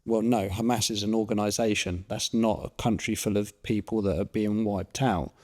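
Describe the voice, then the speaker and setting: A man's voice: lowish voice, steady tone, moderate pace, darkish tone. A man hosting a casual interview who talks in a rambling, chatty way. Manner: rambling, chatty, many fillers and restarts. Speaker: podcast host.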